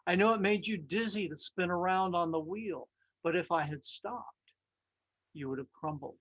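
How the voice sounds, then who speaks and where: A woman voicing a calm, patient male master potter in a storybook tale, speaking in a quiet, measured, reassuring way. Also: fairly low pitch, slightly rough, dry recording.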